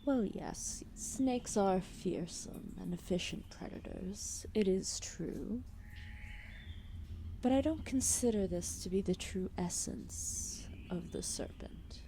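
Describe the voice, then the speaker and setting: Slow, dark-voiced serpent goddess, acted by a woman. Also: medium pitch, slight background noise. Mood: earnest, grave. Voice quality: soft, breathy, often dropping into whispers. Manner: slow, deliberate, formal phrasing with long pauses. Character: calm, solemn serpent deity, ancient and gently possessive. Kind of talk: ASMR roleplay acting.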